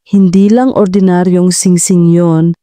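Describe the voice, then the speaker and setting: A woman's voice: on the low side, steady tone, measured pace, mellow, dry recording, clean studio sound, lightly acted. A woman voicing a poised, evasive ex-girlfriend in an audio story, defensive and insistent as she gives up secrets. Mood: confessing, insistent.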